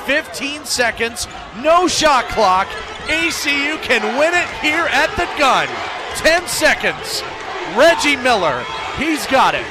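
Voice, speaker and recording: A man's voice: extremely high pitch, background noise. An American man working as a radio play-by-play announcer, giving live sports commentary.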